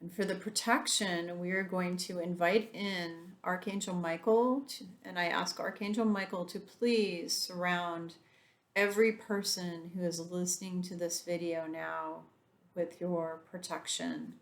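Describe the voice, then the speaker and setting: A woman leading a guided meditation in a softened, soothing voice, drawing out slow breathing instructions. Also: on the low side, steady tone, reverberant.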